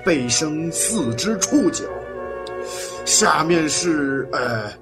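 A bossy, theatrical mentor barks orders at his young heroes and swings his lively voice up and down, as a man acts him out. The pitch sits a little high. Music plays behind him. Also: extremely slow. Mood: lecturing. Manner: bossy, theatrical lecturing with sudden shouts. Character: blustering male mentor lecturing young heroes. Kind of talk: comic audio drama acting. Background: background music.

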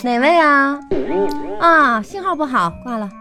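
A sly, bossy wife in a comic radio play. Her high, animated voice carries an as she teases and orders with mock-official authority. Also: measured pace. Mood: feigned innocence. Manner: teasing, mock-official, commanding. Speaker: radio comedy host and actor. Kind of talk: live comedic radio drama dialogue. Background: music.

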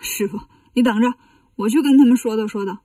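A woman's voice: a little high, a little fast, dark tone, breathy edge, dry recording, studio quality, far from the mic. A woman voicing a brash, bossy monkey king with a blunt, cocky manner in a storybook tale. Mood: cocky, commanding.

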